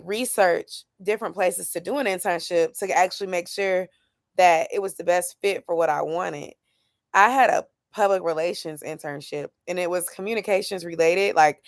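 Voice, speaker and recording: A woman's voice: lowish voice, dry recording, clean studio sound. A woman in her late twenties, chatting candidly and thinking aloud about her plans while answering comments from her viewers. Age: 20s. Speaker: YouTuber doing a weekly check-in. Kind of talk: casual chat with a live chat.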